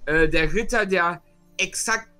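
A man's voice: high-pitched, a little slow, sharp tone, soft background music. A man talking casually as a player in an online tabletop game.

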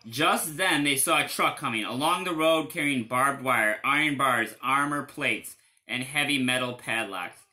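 A man in his thirties reading a children's story aloud expressively, with dramatic, drawn-out emphasis. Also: medium pitch, bright, forceful tone. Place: indoors against a panelled wall.

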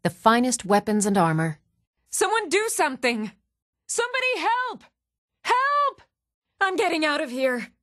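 A measured, expressive fantasy woman. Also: sits high, dry recording, clean studio sound, exaggerated voice. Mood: businesslike, friendly, then fierce, panicked. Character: even-toned young adult woman of a fantasy world, steady and stern. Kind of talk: fantasy video game voice lines.

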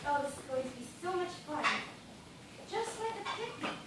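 A high-pitched young actress playing an imperious woman boss in a stage comedy, chatty yet commanding. She declaims from far off in a very echoey theatre, with loud noise in the recording. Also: animated, moderate pace. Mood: breezy, bossy. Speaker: stage actor. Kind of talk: live stage comedy acting. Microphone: far camera. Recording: distant, very echoey, noisy auditorium recording.

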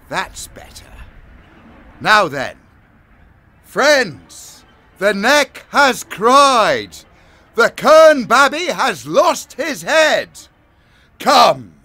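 A man's voice: sits high, pushed and bright, exaggerated voice. A man playing a mocking, menacing pagan lord in an audio drama, with an urbane, theatrical, condescending manner. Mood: ceremonial exultation. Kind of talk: full-cast audio drama acting.

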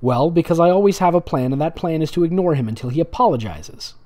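A man's voice: a little high, animated, fast, darkish tone, voice pushed up, lightly acted. A man voicing an indignant, self-assured teenage witch heroine from fan fiction in an exaggerated manner. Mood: smug.

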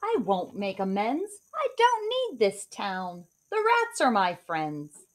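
A woman's voice: mid-range voice, huge pitch swings, slow. A woman voicing a lazy, lonely man who litters, in a storybook, speaking in a sulky grumble. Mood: grumbling.

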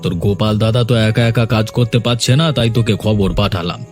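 A man voicing a bossy, quick-tempered rich warehouse owner in a cartoon story, berating his workers in exclamations. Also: lowish voice, steady tone, faint music behind, close to an everyday voice. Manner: berating, hectoring, full of exclamations.

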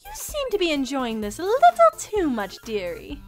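A woman's voice: sits high, wildly swooping pitch, normal speed, a little mellow, soft background music. A woman voicing a refined, haughty young cartoon lady in a fan audio story, prim and affected. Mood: prim, teasing. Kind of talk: animated character acting in a fan audio story.

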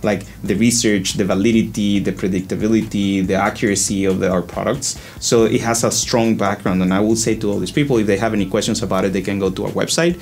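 Low-voiced man. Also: steady tone, darkish tone. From Guatemala. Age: 30s to 40s. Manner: halting, repeats words while thinking. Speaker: company executive, interview guest. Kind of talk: conversational interview answers. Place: indoor studio-style office set.

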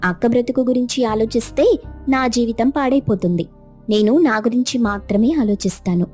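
A woman voicing a selfish, defiant younger sister in an animated moral story, firm and self-justifying. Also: on the high side, a little fast, soft background music, close to an everyday voice. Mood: defiant, cold.

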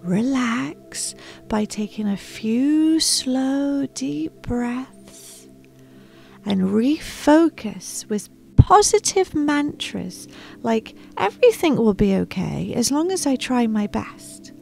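A gritty ninja friend from a children's storybook, read by a woman, reassuring and instructive. The delivery is emphatic and fairly high in pitch. Music plays underneath. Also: expressive, takes it slowly, gravelly, darkish tone, exaggerated voice.

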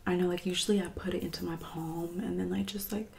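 A woman in her twenties doing an ASMR roleplay as a catty hairdresser friend, soft-spoken and often whispering, with condescending, passive-aggressive delivery. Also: fairly low pitch, steady tone, gravelly, background noise. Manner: condescending, passive-aggressive, drawn-out backhanded remarks.

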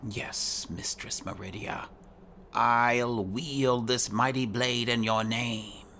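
A man narrating his video game in character, with a measured, musing and theatrical way of talking. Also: mid-range voice, fairly even intonation, pushed and bright. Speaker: gaming video host role-playing his character. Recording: faint background noise.

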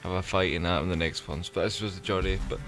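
Low-voiced Scottish man rambling over a game. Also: fairly even intonation, quick pace, fairly bright tone, faint music behind. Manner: sweary, rambling. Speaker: gaming video creator. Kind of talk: casual game commentary.

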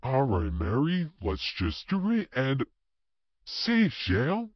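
A woman's voice: a bass voice, wildly swooping pitch, slow pace, husky, dry recording, pitched below the performer's own voice, exaggerated voice. A woman voicing a businesslike cartoon pirate companion, on a slightly dull recording. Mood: matter-of-fact.

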